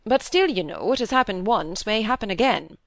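A woman voicing a sensible middle-aged gentleman from a storybook, matter-of-fact and briskly impatient. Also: medium pitch, animated, no echo, studio quality, pitched above the performer's own voice, natural voice. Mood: dry, explaining.

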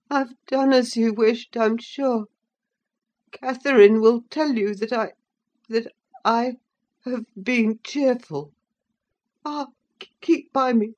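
Woman as a frail, whining, higher-voiced youth. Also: darkish tone, muffled recording. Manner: panting, pleading, faltering. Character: frail, fearful, whining sickly youth, a cowed son.

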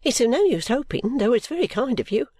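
A woman voicing a sulky, overworked young woman who complains abruptly and breaks into pitched outbursts. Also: sing-song, brisk, warm tone, breathy edge, no echo. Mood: exasperated.

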